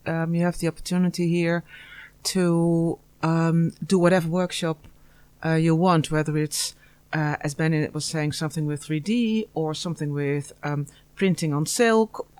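A woman's voice: low-pitched, fairly even intonation, normal speed, a little mellow, smooth. A woman from the Netherlands, a student answering interview questions earnestly, with many hesitations. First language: Dutch. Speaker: master's student.

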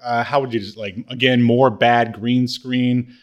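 A man co-hosting a podcast, chatting casually and recapping in a halting, rambling way with trailing thoughts. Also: medium pitch, fairly even intonation, lo-fi sound. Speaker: podcast co-host. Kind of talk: casual chat recapping a comedy show.

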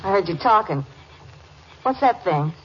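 An American actress playing an anxious, disbelieving young rancher's wife in a 1950s radio drama. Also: female voice, medium pitch, animated, unhurried, slight background noise, natural voice. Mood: puzzled, worried. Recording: muffled old radio recording.

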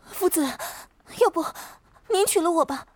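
A woman's voice: very high voice, animated, moderate pace, no echo. A woman playing a desperate, jilted young village woman, plaintive and distraught, in a Chinese period audio drama. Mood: pleading hope.

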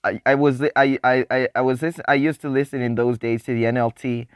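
A man's voice: a little fast, clean tone, no room sound, clean studio sound. A seventeen-year-old young man, chatting in a faith vlog, happy and rambling with frequent restarts and laughs. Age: teens. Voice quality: voice newly deepened. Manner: excited, happy.

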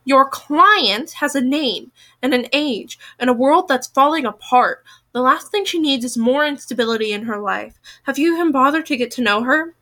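A woman voicing an indignant, sharp-tongued female character in a novel, pointed and reproachful. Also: sits high, lively intonation, moderate pace.

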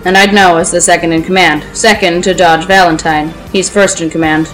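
A woman reading a comic audio play narration in a dry, deadpan way. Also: lowish voice, brisk, soft background music, rough recording.

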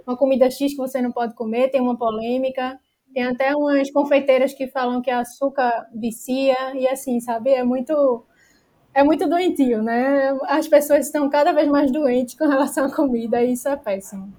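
Brazilian female nutritionist as podcast guest, high voice, conversational explainer with frequent tag questions. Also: fairly even intonation, moderate pace, warm tone. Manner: peppers speech with tag questions seeking agreement.

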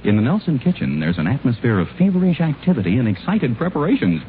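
A man's voice: mid-range voice, big pitch swings, quick pace, slight background noise, natural voice. A man acting as the folksy, theatrical storyteller of a 1940s radio comedy, setting a spooky Halloween scene on an old recording. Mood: playfully spooky. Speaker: announcer-narrator of a radio comedy. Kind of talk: 1940s radio scripted narration. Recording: muffled 1940s radio recording, music behind.

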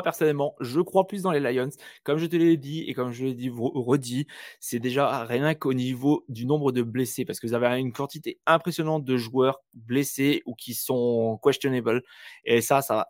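Lively man talking football, dry sound. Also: medium pitch, dry recording. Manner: animated, teasing, asks rapid questions. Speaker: podcast co-host. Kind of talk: casual sports chat.

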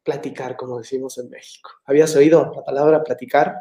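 A man's voice: medium pitch, fairly even intonation, fairly quick, warm tone. A man hosting a casual live-stream talk, friendly and reading out viewer greetings. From Latin America, living in Mexico. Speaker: host of a live online talk. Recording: echoey room.

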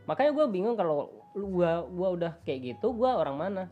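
A man from Java talking in a lively, slangy way as a podcast host. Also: sits high, fairly quick, narrow, tinny audio, soft background music. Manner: animated, chatty, slangy. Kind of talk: casual chat.

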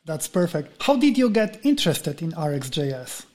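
A man hosting a podcast, greeting his guest and asking questions in a deliberate, welcoming way. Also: fairly high pitch, very animated, darkish tone. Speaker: podcast host.

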